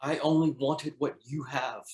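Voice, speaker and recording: A man's voice: medium pitch, steady tone, measured pace, a little mellow, natural voice. A man playing an insecure, ambitious husband and secret lover in a screenplay table read. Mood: pleading, intense. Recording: roomy, echoing sound.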